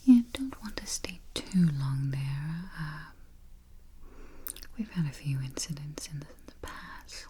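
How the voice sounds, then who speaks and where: A woman whispering softly as a travel agent in an ASMR roleplay, murmuring little mm-hmms between phrases, close to the mic. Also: low-pitched, expressive, slow pace, slight background noise. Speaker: ASMR artist playing a spooky travel agent. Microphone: close sensitive mic. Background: keyboard typing and finger taps.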